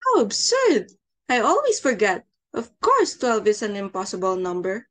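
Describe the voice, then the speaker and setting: A woman's voice: medium pitch, huge pitch swings, normal speed, a bit bright. Woman acting a fussy society hostess, wildly swooping voice. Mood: indignant, flustered. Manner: haughty, exclamatory, easily flustered. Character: fussy, snobbish society hostess and domineering mother. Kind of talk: amateur dramatic reading of a stage comedy.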